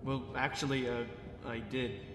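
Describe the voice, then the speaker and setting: A man's voice: medium pitch, flat delivery, background noise, natural voice. A young man playing an earnest, awkward but determined cadet in a sci-fi drama, speaking a little hesitantly. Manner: hesitant, halting. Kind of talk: fan sci-fi drama acting. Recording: echoey reverb with music underneath.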